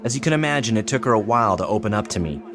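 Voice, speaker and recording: An actor playing an earnest, persuasive psychiatrist in an audio drama, explaining in a measured, solemn way. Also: male voice, on the low side, expressive, lightly acted. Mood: concerned. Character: earnest, persuasive male psychiatrist pressing his case. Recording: clearly noisy background ambience.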